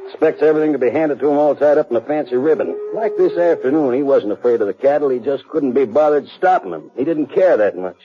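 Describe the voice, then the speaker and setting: A man's voice: medium pitch, lively intonation, quick pace, hardly any pauses, lightly acted. An American actor playing a weary, responsible cattleman and older brother in a 1950s radio western, with plain, rustic speech. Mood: bitter, troubled. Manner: rustic, folksy grammar. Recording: muffled 1950s radio broadcast, faint music.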